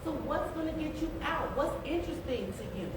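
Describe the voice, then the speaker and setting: A woman's voice: sits high, animated, fairly quick. A woman running for office, questioning the audience at a town forum and repeating her questions to draw people in. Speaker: candidate at a forum. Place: large echoey church hall. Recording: echoey hall, distant mic, room noise.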